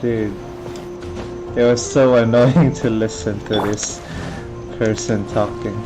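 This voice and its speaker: A man's voice: fairly low pitch, steady tone, slow pace, rough recording. A man giving casual live reactions as a game streamer. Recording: game music behind the voice.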